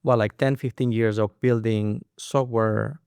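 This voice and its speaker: A man's voice: on the low side, steady tone, measured pace, creaky, darkish tone, clean tone, dry recording. A man co-hosting a podcast as the company's CEO, chatting casually with many fillers and restarts. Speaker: co-founder and CEO, podcast host. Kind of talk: casual conversational podcast chat.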